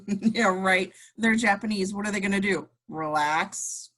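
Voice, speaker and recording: A woman's voice: medium pitch, a little slow, fairly bright tone. A woman playing a wry, jaded male control-room technician in an amateur table read.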